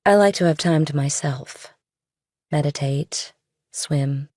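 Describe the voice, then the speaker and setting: A woman's voice: sits low, takes it slowly, darkish tone, no room sound, studio quality. A woman playing a young, worldly, guarded girlfriend in an audiobook, speaking casually and directly. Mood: guarded, pointed. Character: young worldly girlfriend of a rich older man, guarded, pointed. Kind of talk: audiobook character dialogue.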